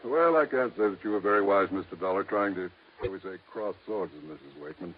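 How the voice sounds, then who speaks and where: An actor playing a measured, discreet lawyer with a formal, deliberate way of talking in a 1950s radio drama. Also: male voice, deep voice, lightly acted. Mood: dry, guarded. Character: measured, discreet small-town lawyer guarding a secret. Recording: tinny, narrow-band vintage radio recording.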